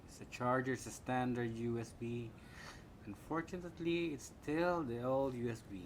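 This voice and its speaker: A man with a Filipino accent giving a casual, halting unboxing commentary, thinking aloud as he goes. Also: medium pitch. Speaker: product reviewer. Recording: clear background room noise.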